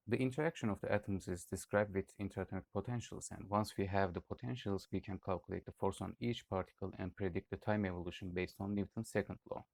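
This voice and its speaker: A man's voice: deep voice, creaky, darkish tone, no room sound. A young man from Turkey reading out a student project presentation, with occasional hesitations. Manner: read-aloud explanation with occasional "uh" hesitations.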